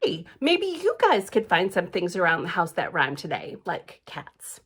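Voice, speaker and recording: A woman's voice: on the low side, wildly swooping pitch, unhurried, a bit bright, husky. A woman reading a picture book aloud at storytime, adding chatty asides and asking her young listeners questions. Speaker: library storytime reader.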